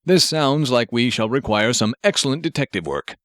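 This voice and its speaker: A man's voice: medium pitch, lively intonation, moderate pace, fairly bright tone, no room sound, clean studio sound, close to an everyday voice. A man voicing a pompous, earnest superhero who speaks in formal, declamatory sentences with stubborn optimism, in an audiobook. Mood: grandly earnest.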